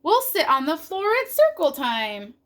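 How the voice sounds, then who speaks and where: Woman as a gentle, firm schoolteacher, slow-paced. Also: high voice, very animated, full character voice. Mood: gently firm. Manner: polite, instructing children.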